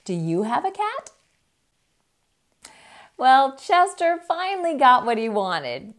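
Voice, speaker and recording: A middle-aged woman hosting children's storytime, reading a picture book aloud in a theatrical, deliberate, emphatic way. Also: high-pitched, huge pitch swings, fairly bright tone. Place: small indoor room with bookshelves.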